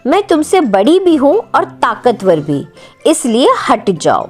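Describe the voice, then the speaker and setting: A woman's voice: a little high, wildly swooping pitch, slow, darkish tone, full character voice. A woman voicing a proud, stubborn female goat in a children's story, boastful and demanding. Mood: insistent. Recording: music behind the voice.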